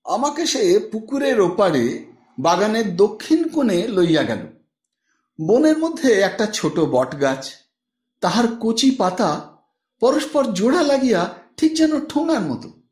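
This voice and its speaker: A man narrates a literary audiobook in a high voice that swings widely in pitch. His reading is measured and expressive, and the recording has a strong roomy echo. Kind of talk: dramatized literary story narration.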